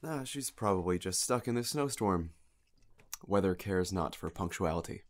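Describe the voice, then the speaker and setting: An actor playing a polite, reserved stranger at a bar in an audio drama, speaking in a hesitant, slightly formal way. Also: male voice, sits low, animated, moderate pace. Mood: guarded, polite.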